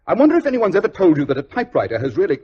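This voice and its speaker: An actor playing a brisk, self-assured gentleman detective in a 1940s radio drama, clipped and dryly offhand. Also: high voice, huge pitch swings, exaggerated voice. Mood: brisk, self-satisfied. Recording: dull, rough vintage radio recording.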